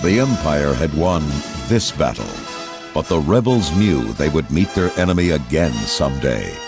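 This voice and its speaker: Deep guy reading a kids adventure storybook, theatrical and measured, big music going behind. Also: animated. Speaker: storybook narrator. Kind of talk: dramatic scripted children's read-along narration. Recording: loud music and effects underneath.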